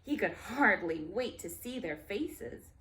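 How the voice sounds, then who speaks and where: A woman storyteller reading aloud to young children in a deliberate, emphatic way, with simple explanatory asides. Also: mid-range voice, expressive, a bit bright, poor audio.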